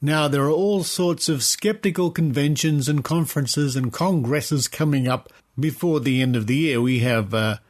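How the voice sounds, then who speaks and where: A man's voice: a little high, normal speed. An Australian man hosting a podcast in a chatty, conversational way, given to rambling asides. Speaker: podcast host.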